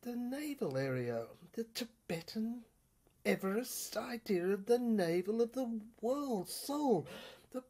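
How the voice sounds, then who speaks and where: Female voice, rough and husky, for experimental audio drama; theatrical delivery in clipped fragments. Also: a little high, very animated, takes it slowly, warm tone. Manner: fragmented, theatrical delivery in short broken phrases.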